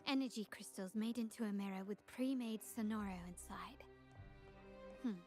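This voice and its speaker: A woman's voice: mid-range voice, normal speed, darkish tone, close to an everyday voice. An actress playing a poised, confident young noblewoman in a video game, composed in thought and theatrical in her battle cries. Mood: thoughtful. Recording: game audio with music behind.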